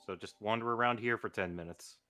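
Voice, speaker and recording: A man's voice: lowish voice, fast talker, sharp tone, faint music behind. A man co-commentating on a game stream in casual chat.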